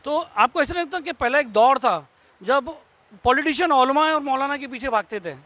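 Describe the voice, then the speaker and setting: A man's voice: extremely high pitch, a little fast, bright, forceful tone, no echo. A man working as a news reporter, asking informal questions in an interview.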